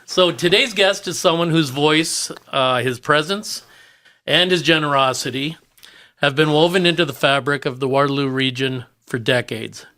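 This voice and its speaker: A man's voice: on the high side, moderate pace, pushed and bright. A man hosting a podcast, reading a scripted introduction in an upbeat manner. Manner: upbeat, laughs often. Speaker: podcast host. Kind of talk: scripted introduction, then casual chat.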